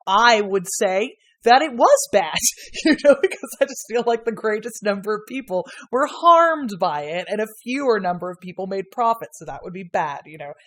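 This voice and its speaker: A woman's voice: mid-range voice, expressive, moderate pace, few pauses, a bit bright. A woman guest on a casual podcast, a history lecturer who rambles through stories with constant fillers, frequent laughs and casual swearing.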